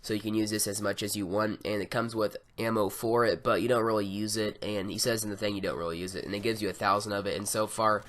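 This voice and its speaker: A man with a raspy, hoarse voice from being sick, casually reviewing game mods with a rambling, filler-heavy delivery. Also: on the low side, flat delivery, fast, hardly any pauses, a bit bright. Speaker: gaming YouTuber. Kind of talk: casual mod review over gameplay.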